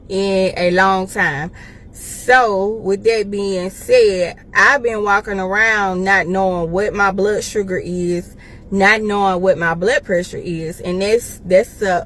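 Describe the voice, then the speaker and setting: A woman in her thirties with an American accent, speaking informally and deliberately in a casual, confessional vlog, pausing for emphasis. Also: medium pitch, a bit bright, slight background noise. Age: 30s. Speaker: life coach and evangelist making a personal video.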